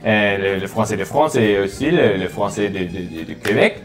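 A young man from the United States with an American accent, a podcast guest talking in a halting way with many repeated words and fillers. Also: on the low side, expressive, faint music behind. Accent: American English. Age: 20s. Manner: hesitant, many repeated words and fillers while switching languages. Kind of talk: casual podcast chat. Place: small living room studio.

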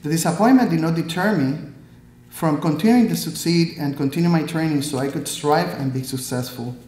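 A man's voice: on the high side, fairly quick, a little mellow. Puerto Rican man speaking in an echoey hall. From Puerto Rico. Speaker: Army pathologist, guest speaker. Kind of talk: prepared speech read from notes. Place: hall stage at a podium. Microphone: podium microphone.

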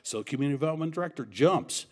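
A man emceeing an awards event, telling a joke story at a podium mic in a banquet hall, with animated, shouted quoted lines. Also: on the high side, very fast.

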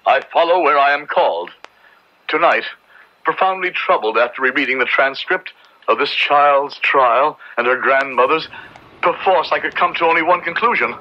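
A man's voice: fairly high pitch, moderate pace, close to an everyday voice. A man playing a grave, devout Puritan judge in a radio drama, speaking in formal, solemn oratory. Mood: solemn, resolute. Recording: dull, band-limited old radio recording.

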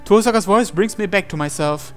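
A man's voice: high voice, fairly quick. A man reading a visual novel aloud as a let's player, narrating it dramatically over music. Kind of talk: dramatic read-aloud narration of on-screen text. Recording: music behind the voice.

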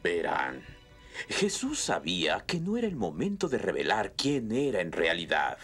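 A lively-toned storytelling baker. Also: male voice, low-pitched, normal speed, slightly rough, soft background music, heavily acted. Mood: calm.

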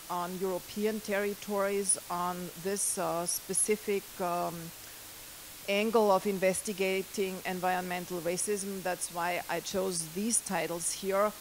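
A middle-aged woman, lecturing at a university into a handheld mic, pausing often with fillers and restarts. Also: medium pitch, steady tone, a little hiss or hum, poor audio. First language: German. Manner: hesitant, many fillers and restarts. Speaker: guest lecturer. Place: lecture hall.

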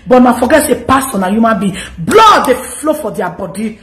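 Nigerian female commentary host, 30s, animated and scolding, higher voice, echoey room. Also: normal speed. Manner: animated, scolding, mixes pidgin and English. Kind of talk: direct-to-camera commentary.

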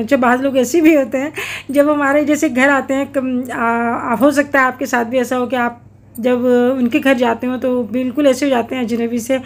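A middle-aged woman vlogging at home, talking in an earnest, reflective way with many pauses and fillers. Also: fairly high pitch, a little hiss or hum. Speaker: cooking vlogger. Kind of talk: casual vlog voice-over.

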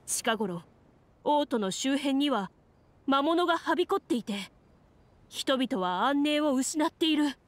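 A woman's voice: high voice, animated, measured pace, no room sound. A woman voicing a worried, earnest young man from a troubled town in a fantasy game. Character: anxious, earnest young townsman.

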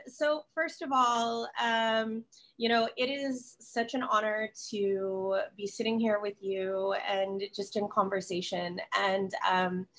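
A young woman hosts a casual interview over a video call from her kitchen. She sounds admiring and fills her speech with ums. Her questions are loose and chatty. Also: mid-range voice. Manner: warm, admiring, many fillers. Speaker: chef host. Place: home kitchen.

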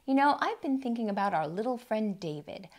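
Animated woman reading to young children. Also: fairly high pitch, brisk. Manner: expressive, asks the listener questions, exaggerated emphasis. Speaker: children's storytime host. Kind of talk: read-aloud to young children. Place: small furnished room indoors. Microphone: lapel microphone.

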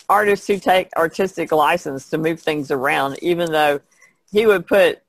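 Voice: medium pitch, gravelly, no room sound. A podcast co-host talking in casual conversation. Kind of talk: casual chat.

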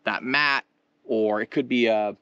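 A man's voice: mid-range voice, steady tone, unhurried, bright, forceful tone, no echo, highs cut off. A man hosting an instructional video, explaining gear and technique in an informal, conversational way, speaking straight to viewers. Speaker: host of a fishing tutorial channel. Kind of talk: casual instructional demonstration talk.

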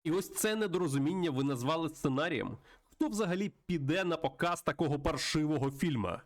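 A man's voice: on the high side, expressive, a little fast, pitched above the performer's own voice, lightly acted. A man playing a contemptuous, irate film studio boss in a game, berating and scornful. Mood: scornful outrage.

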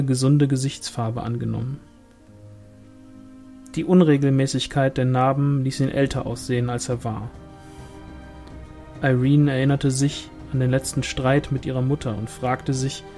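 A man's voice: mid-range voice, dark tone. A man reading a novel aloud as scripted narration, in the manner of an audiobook. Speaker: audiobook reader.

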